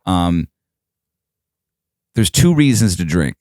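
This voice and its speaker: A man's voice: low-pitched, very animated, takes it slowly, a little mellow, dry recording, studio quality. A man hosting a podcast, asking probing questions in a casual, joking way. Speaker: podcast host. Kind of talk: casual interview chat.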